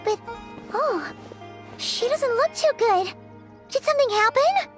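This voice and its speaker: Squeaky little sidekick, very high, unhurried, chirpy, excitable; music bed under. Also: female voice, heavily acted. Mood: worried. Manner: excitable, exclamatory. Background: game music.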